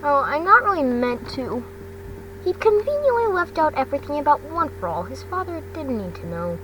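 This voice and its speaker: Halting woman reading, distant noisy mic. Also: high-pitched, big pitch swings, fairly bright tone. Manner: halting, stumbling, repeats phrases. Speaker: fan fiction narrator. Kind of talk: amateur storytelling read aloud.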